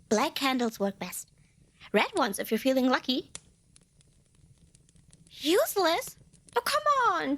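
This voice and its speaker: A woman's voice: sits high, a bit bright, exaggerated voice. A woman playing a cute, eager and nervous little female demon in an ASMR roleplay, bubbly and over-polite with hesitant stammers. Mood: defensive, coaxing. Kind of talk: ASMR roleplay acting addressed to listener.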